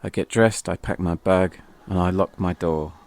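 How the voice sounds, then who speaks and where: A man narrating a first-person audio memoir in a reflective way, in short, measured phrases. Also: low voice, fairly even intonation, vocal fry, darkish tone. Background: music.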